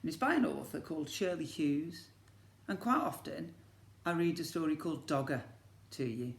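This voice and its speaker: A man's voice: a little high, animated, moderate pace, creaky. A man, a teacher reading a children's story expressively and breaking off to ask his young listeners questions. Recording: echoey room.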